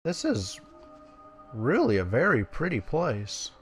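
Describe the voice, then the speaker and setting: A man's voice: mid-range voice, wildly swooping pitch, slow pace, breathy edge, faint music behind. A man giving casual, playful commentary as he plays a game, often muttering to himself. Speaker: gamer.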